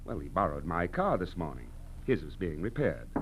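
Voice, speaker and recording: An actor playing a polite, chatty, easily flustered hotel manager in 1940s radio style. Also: male voice, sits low, big pitch swings, normal speed, husky, faint music behind, heavily acted. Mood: chatty, puzzled. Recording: old 1940s radio recording with hum.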